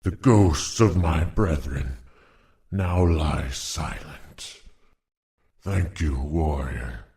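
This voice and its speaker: A dying orc shaman from a fantasy game, extremely low in pitch. He speaks slowly and solemnly, with grave ceremony and honor. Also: mellow, full character voice. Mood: weary gratitude. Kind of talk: video game voice acting.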